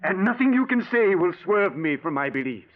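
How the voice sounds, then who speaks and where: An actor playing an earnest, insistent widower obsessed with spiritualism, in courteous old radio drama style. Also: male voice, high voice, very animated, normal speed. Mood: fervent conviction. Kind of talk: 1940s radio acting. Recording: tinny vintage radio recording.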